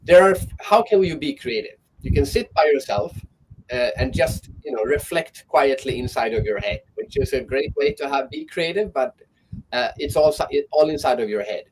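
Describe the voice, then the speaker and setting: A man's voice: mid-range voice, expressive, moderate pace, slight background noise, poor audio. A man speaking as a guest on an online panel, talking thoughtfully and often restarting his sentences.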